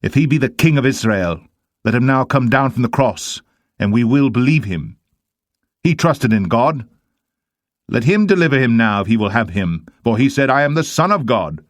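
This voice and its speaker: A man's voice: mid-range voice, animated, moderate pace, no echo, clean studio sound, pitched above the performer's own voice, lightly acted. Man acting a scornful, taunting chief priest. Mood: scornful mocking. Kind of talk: dramatised scripture reading.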